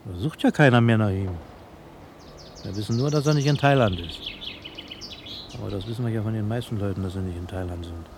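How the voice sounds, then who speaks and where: An actor playing a cynical, chatty insider passing on police gossip in a sardonic, colloquial way in a radio drama. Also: male voice, fairly low pitch, a little fast, pauses often, close to an everyday voice. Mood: flippant, cynical. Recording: faint outdoor ambience with birdsong. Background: birdsong, ambient noise.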